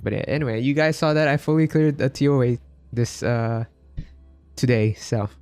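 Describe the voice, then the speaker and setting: A man from the Philippines livestreaming a game with casual, halting commentary, half muttering to himself. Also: mid-range voice, darkish tone, clean tone, no room sound. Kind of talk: casual live gaming commentary. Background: game music.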